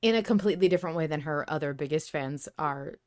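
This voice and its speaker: A woman's voice: deep voice, quick pace. A woman hosting a podcast in casual fan chat, effusive and given to swearing. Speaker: podcast host.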